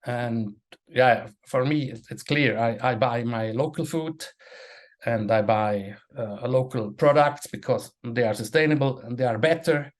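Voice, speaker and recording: A man, a panel guest speaking English as an in a halting way, restarting and repeating words. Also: medium pitch. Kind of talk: casual webinar talk.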